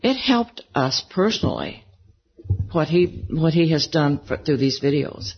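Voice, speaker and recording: An older woman with a low voice, chatting as a guest in an interview and gently telling stories. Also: sing-song, a little slow, breathy edge. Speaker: interview guest, gospel singer. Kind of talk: casual interview conversation. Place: music convention. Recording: slightly dull lo-fi recording.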